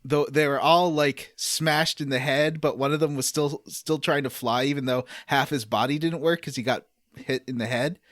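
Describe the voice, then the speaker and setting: A man's voice: medium pitch, fairly even intonation, normal speed, hardly any pauses, a bit bright, no echo, studio quality. A man hosting a casual group podcast, telling stories in an enthusiastic, rambling way. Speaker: podcast host.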